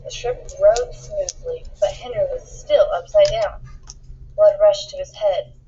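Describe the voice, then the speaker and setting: A woman reading a children's picture book aloud in an expressive storytelling style, acting out the dialogue with emphasis. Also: mid-range voice, measured pace, highs cut off, a little hiss or hum. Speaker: storybook reader.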